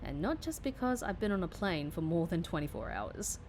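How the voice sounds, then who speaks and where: A woman's voice: fairly low pitch, expressive, normal speed, darkish tone, slight background noise, lightly acted. A woman playing a wry, stubborn agent recovering from injury in an audio drama, with a dry, defiant manner.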